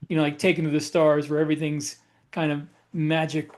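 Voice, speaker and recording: A man's voice: fairly high pitch, little pitch movement, brisk, darkish tone. A man co-hosting a casual discussion, talking conversationally and feeling his way into each point. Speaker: podcast co-host and author. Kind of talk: casual panel discussion about writing.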